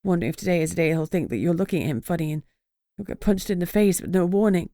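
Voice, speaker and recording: Woman doing a shaken young British woman, earnest; lowish voice, dry sound. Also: quick pace, dark tone, dry recording, lightly acted. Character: shaken young woman facing her fears.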